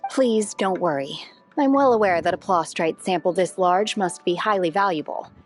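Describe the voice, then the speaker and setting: A woman playing a composed, aloof young adept in a video game, with a measured, formal and reserved delivery. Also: on the low side, lightly acted. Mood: calm, reassuring. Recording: faint game music behind.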